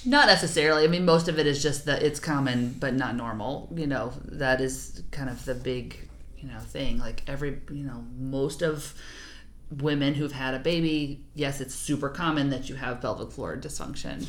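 A woman's voice: extremely low pitch, moderate pace. A woman speaking as a podcast guest and physical therapist, explaining things in an agreeable, informal, conversational way. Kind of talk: casual interview conversation.

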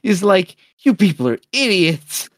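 Man, higher voice, dry sound, casual podcast co-host, animated retelling, stammered repeats. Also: measured pace, no room sound. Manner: animated retelling with acted-out quotes. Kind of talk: casual film discussion.

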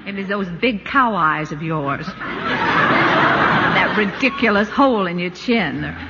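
A woman's voice: medium pitch, lively intonation, slow pace, very noisy. An American woman playing a wry, teasing, exasperated suburban wife in a 1940s radio comedy-drama. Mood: amused, teasing. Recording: dull vintage 1940s radio recording.

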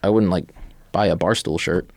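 A man's voice: deep voice, normal speed, vocal fry, darkish tone, dry recording, studio quality. A man co-hosting a podcast, talking casually and conversationally with plenty of slang. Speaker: podcast co-host.

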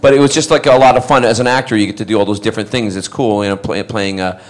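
Middle-aged actor at a fan panel, lively anecdotes, keeps laughing and doing voices. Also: male voice, lowish voice, quick pace. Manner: animated, laughing, acts out other voices. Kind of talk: anecdotal storytelling to an audience.

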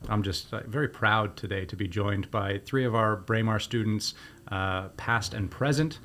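A man with a fairly low voice hosts an interview podcast. He speaks conversationally to his guests from a sound studio. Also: fairly bright tone, slight background noise. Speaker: podcast host. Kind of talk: scripted intro and conversational interviewing.

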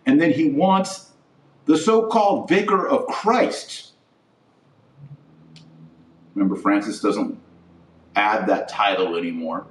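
A man hosting an opinionated religious podcast, recorded in an echoey room a little way off the mic. He speaks slowly and indignantly, drawing out key words with preachy emphasis. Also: medium pitch, far from the mic. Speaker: podcast host and religious commentator. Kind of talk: opinionated religious commentary to camera or podcast audience. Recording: roomy echo, voice off the mic.

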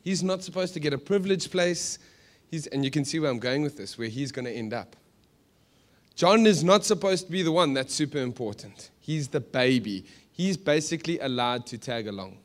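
A South African man preaching conversationally to a congregation, animated, with joking asides and rhetorical questions. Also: fairly high pitch, moderate pace. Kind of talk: sermon to a live congregation, conversational storytelling.

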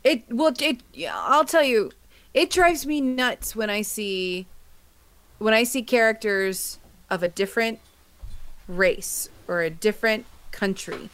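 A woman's voice: a little high, slow pace, fairly bright tone, no echo. Emphatic woman podcaster, close dry sound. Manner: emphatic, exasperated, blunt. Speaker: podcast panelist. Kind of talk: casual opinionated panel discussion.

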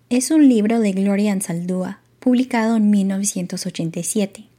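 A woman hosting a podcast, calmly asking questions and explaining background. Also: mid-range voice. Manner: calm, explanatory, asks curious questions. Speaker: podcast host. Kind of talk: scripted narration.

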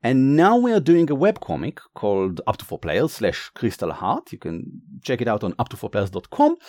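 A man with an Israeli accent explaining and narrating as game master in a halting, casual, enthusiastic way. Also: medium pitch, darkish tone, no room sound, studio quality. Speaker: game master, game designer and writer.

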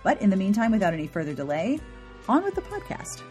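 A woman's voice: medium pitch, wildly swooping pitch, fast talker, music in the background. A woman podcast host giving a chatty, informal and enthusiastic intro with playful asides.

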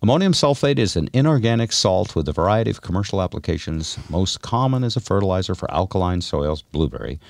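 A low-pitched man hosts casual radio talk with lively swings in pitch. He banters in a wry, deadpan way, and the sound is dry and close-miked. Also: fast talker, creaky, few pauses, no echo. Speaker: radio show host.

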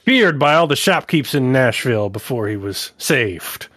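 A man's voice: mid-range voice, lively intonation, normal speed, fairly bright tone, dry recording, studio quality. A man you would hear among friends at game night, talking through his turn in a mid-range voice. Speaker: player. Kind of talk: casual tabletop role-play.

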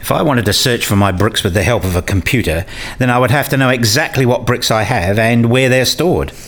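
A man's voice: mid-range voice, a little fast, fairly bright tone. Dry, bookish male academic played by an actor. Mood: conversational. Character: wry male academic narrating his own puzzled days. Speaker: narrator and lead character in a radio play. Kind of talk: scripted first-person narration and dialogue.

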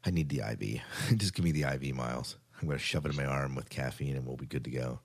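Very low-pitched American man, chatty podcast host. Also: quick pace. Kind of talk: casual podcast chat and show rundown.

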